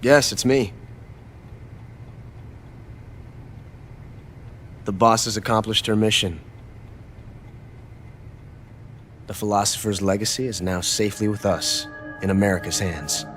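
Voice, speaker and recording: An actor playing a cunning, smug young double agent in a video game, reporting in a clipped, confident, formal manner. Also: male voice, fairly even intonation, a little fast, fairly bright tone, soft background music, lightly acted. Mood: triumphant. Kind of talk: dramatic video game cutscene, one-sided phone report. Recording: faint low rumbling background noise.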